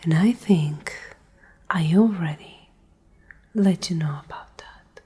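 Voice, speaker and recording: A woman playing a menacing, domineering leader of a survivor group in a whispered ASMR roleplay, speaking in a breathy, husky voice with slow, condescending control. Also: fairly low pitch, dark tone, exaggerated voice. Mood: accusing. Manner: slow, condescending, coldly threatening.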